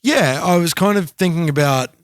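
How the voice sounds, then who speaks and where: A man co-hosting a podcast, talking thoughtfully in a halting way and often repeating words. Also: on the high side, lively intonation, no echo, clean studio sound. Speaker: podcast co-host. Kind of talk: casual podcast chat.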